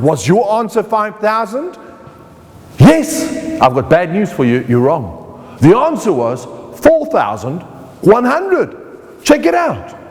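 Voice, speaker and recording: A middle-aged man with a South African accent leads a motivational lesson. His voice rises and falls with animated swings. He talks straight to the listener, and the sound rings out in a long hall reverb. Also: high-pitched. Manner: lively, playful, speaks directly to the viewer. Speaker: motivational speaker and magician. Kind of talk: online course lecture.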